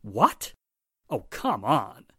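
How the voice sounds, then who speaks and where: An actor playing an exasperated, spluttering police inspector in a 1940s-style radio drama. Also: male voice, mid-range voice, wildly swooping pitch, drawn-out words, fairly bright tone, slightly rough, no room sound, studio quality, exaggerated voice. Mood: incredulous. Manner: spluttering, emphatic, incredulous.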